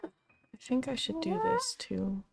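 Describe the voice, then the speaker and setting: Soft-spoken woman with a gravelly fry. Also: high voice, big pitch swings, slow, very noisy. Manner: soft-spoken, rambling, thinking aloud with many ums; gently scolds and coaxes a pet between remarks. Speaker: streamer and channel host. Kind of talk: casual game-stream chatter.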